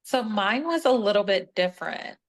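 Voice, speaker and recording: A woman's voice: medium pitch, lively intonation, normal speed. Chatty woman guest from Atlanta. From Atlanta, Georgia. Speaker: podcast guest. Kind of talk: casual group chat.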